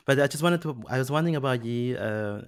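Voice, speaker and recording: A man's voice: mid-range voice, quick pace, smooth, dry recording, studio quality. A man from Peru hosting a casual podcast discussion, thoughtful and hesitant, with frequent restarts. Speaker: podcast host.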